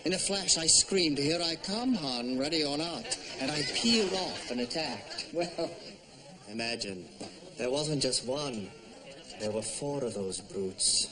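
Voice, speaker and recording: Swooping, boastful fighter ace. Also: male voice, on the high side, lively intonation, unhurried, a crowd in the background, low-quality recording. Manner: theatrical, boastful. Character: flamboyant, boastful British wartime fighter pilot commander.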